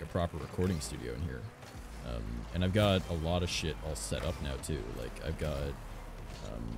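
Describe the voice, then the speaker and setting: A young man from Canada with a raspy voice, chatting casually and rambling as a streamer, filling his talk with "like" and "um". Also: very deep voice. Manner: rambling, frequent "like" and "um". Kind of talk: casual chat while gaming. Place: home recording studio.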